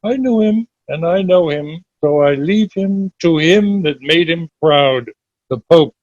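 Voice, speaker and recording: A man reading Shakespeare verse drama aloud as an amateur, in a measured, deliberate way over a dull online call. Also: fairly high pitch, dry recording.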